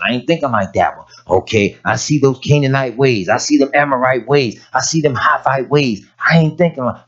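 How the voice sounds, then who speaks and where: A man preaching a sermon in a raspy voice, telling everyday stories and rising to emphatic points. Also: mid-range voice, muffled recording. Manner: folksy storytelling preaching, rising to emphatic peaks.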